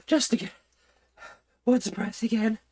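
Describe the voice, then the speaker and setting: A man's voice: extremely high pitch, fairly even intonation, unhurried, darkish tone, pitched above the performer's own voice. A man voicing a polite, curious young girl in a storybook read aloud, earnest and questioning.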